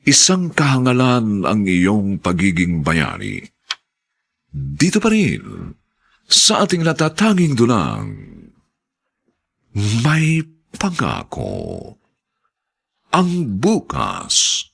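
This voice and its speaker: Deep, gravelly, theatrical male radio narrator. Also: huge pitch swings, drawn-out words, pauses often, fairly bright tone. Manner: solemn, theatrical. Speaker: drama narrator and announcer. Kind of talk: scripted dramatic radio narration.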